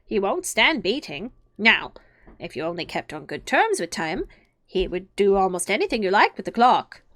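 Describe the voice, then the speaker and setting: A woman voicing an excitable, theatrical male hatter with a booming, drawn-out delivery. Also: no echo.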